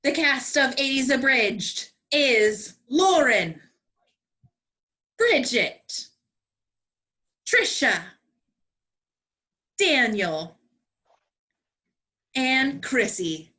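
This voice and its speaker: Woman comic narrator for radio play parody, high, lively, bright delivery. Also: slow pace, pauses often. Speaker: comedian narrator.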